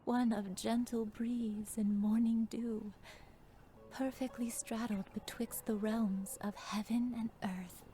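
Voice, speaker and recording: A woman voicing a sorrowful, pleading female immortal in a video game drama, speaking softly and poetically with breath in the voice. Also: a little high, fairly even intonation, slow, low-quality recording. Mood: wistful, regretful.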